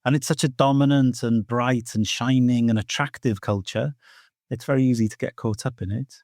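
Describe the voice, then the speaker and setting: A man's voice: medium pitch, fairly even intonation, moderate pace, a little mellow, no echo, clean studio sound. A middle-aged man from Wales with a Welsh accent, a native Welsh speaker, answering as an interview guest in a thoughtful, earnest way with many "you know" asides. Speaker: guest teacher of Welsh myth. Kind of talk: reflective podcast interview answers.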